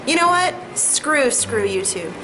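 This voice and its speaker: A woman's voice: high-pitched, very animated, unhurried, over music. A woman playing a defiant creator in a comedy sketch, rallying fellow protesters.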